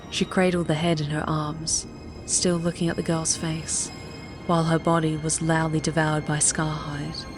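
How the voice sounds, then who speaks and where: Low-voiced woman narrating dramatic fiction. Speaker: audiobook narrator. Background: faint music.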